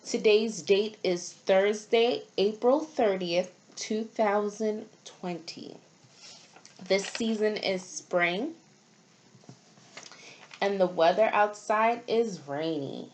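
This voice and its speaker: A woman speaking as a preschool teacher in simple, deliberate phrases, leading a storytime lesson for young children. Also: mid-range voice, a little mellow, rough recording.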